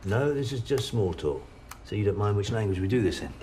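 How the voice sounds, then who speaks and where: An actor plays a male investigator in a TV crime drama. His voice is deep, his manner wry and casual, courteous even while probing. Faint noise sits behind him. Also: brisk, lightly acted. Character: wry, courteous British male investigator making small talk. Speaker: police interviewer. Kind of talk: naturalistic TV drama dialogue.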